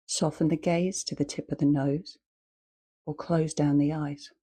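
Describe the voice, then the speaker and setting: A woman leading a guided meditation as a teacher, speaking calmly and in short, measured phrases with long pauses. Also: low-pitched, breathy edge.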